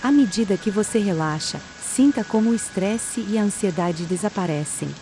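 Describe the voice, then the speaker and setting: A Brazilian woman leads a guided relaxation in a calm, soothing narration. Her voice is mellow and medium in pitch, and she leaves space between phrases. Gentle rain runs faintly behind her. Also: moderate pace, a little hiss or hum. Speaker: meditation guide. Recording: steady rain sound behind the voice. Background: soft rain.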